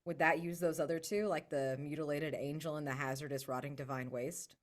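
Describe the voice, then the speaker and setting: A woman's voice: deep voice, steady tone, brisk. A woman brainstorming casually as a player in a tabletop roleplaying game, informal and enthusiastic.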